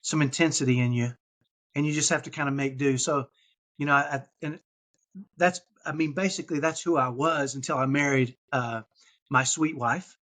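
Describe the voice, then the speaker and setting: A man's voice: a little high, moderate pace, a bit bright. A man from Texas with a slight Texan accent, a podcast guest telling his story in casual chat with many restarts and fillers.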